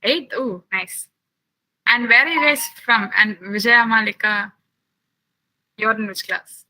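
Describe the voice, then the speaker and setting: A woman's voice: on the high side, sharp tone, rough recording. A young woman from Chennai, chatting with children while giving a science camp lab tour over a video call. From Chennai, India. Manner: chatty, asks the children many quick questions.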